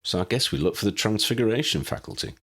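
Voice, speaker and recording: A man voicing a calm, practical young wizard who leads a team, in measured, matter-of-fact audiobook dialogue. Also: on the low side, vocal fry, exaggerated voice. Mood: watchful.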